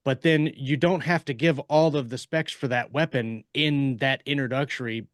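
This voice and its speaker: A man's voice: medium pitch, steady tone, normal speed, no echo, studio quality. A man on a podcast panel explaining things step by step in a casual, conversational way.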